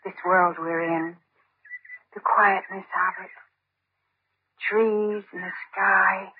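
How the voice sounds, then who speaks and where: A woman's voice: medium pitch, fairly even intonation, takes it slowly, a little hiss or hum, close to an everyday voice. Actress as dreamy lovestruck teenage girl, old radio. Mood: dreamy, tender. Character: dreamy, unstable seventeen-year-old girl in love, stubborn. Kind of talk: old-time radio drama acting. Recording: muffled old radio recording, narrow band.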